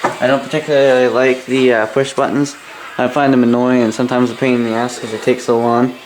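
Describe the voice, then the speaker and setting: A man's voice: mid-range voice, little pitch movement, brisk, slight background noise, rough recording. A Canadian man giving a casual, rambling hobbyist product review, with grumbling asides and mild swearing.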